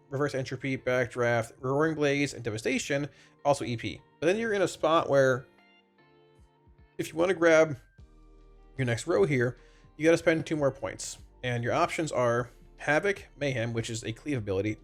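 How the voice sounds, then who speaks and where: A man with a congested, slightly raspy voice from a cold, giving casual, rambling, explanatory commentary on a video game to his viewers. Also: mid-range voice. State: congested, under the weather with a cold. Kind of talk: informal gaming feedback commentary to viewers. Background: background music.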